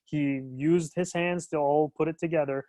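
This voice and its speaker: A man's voice: fairly high pitch, steady tone, moderate pace, warm tone, no echo. Turkish-born man in a dry webinar. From Turkey. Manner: conversational, with many fillers and restarts. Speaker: educational consultant. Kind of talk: webinar discussion.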